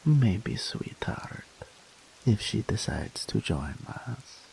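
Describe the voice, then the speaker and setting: A man voicing a doting, possessive male incubus demon in an ASMR roleplay audio, speaking softly and slowly in a whispery, pampering way. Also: lowish voice, very animated, gravelly, exaggerated voice, low-quality recording. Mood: doting, tender. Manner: soft, slow, whispery and pampering.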